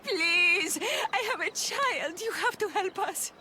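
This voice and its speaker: A woman's voice: extremely high pitch, animated, slow pace, pushed and bright, a little hiss or hum, full character voice. A woman playing a desperate, pleading young mother in distress in a video game. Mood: frantic pleading.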